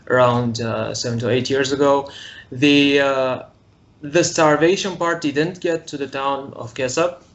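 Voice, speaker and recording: A young man from Syria, a guest in a casual interview, speaking haltingly and searching for his words. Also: medium pitch. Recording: muffled lo-fi recording.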